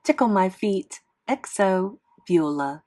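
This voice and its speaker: A woman's voice: mid-range voice, animated, slow pace, warm tone. A woman voicing a lovesick girl from a storybook, gushing with sarcastic sweetness. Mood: mock adoring. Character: made-up lovesick girl writing mocking love notes.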